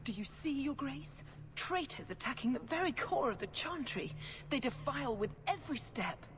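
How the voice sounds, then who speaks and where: A woman's voice: high voice, big pitch swings, normal speed, slight background noise. A woman voicing a zealous middle-aged priestess who speaks with fervent, accusing conviction. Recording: game audio with music behind.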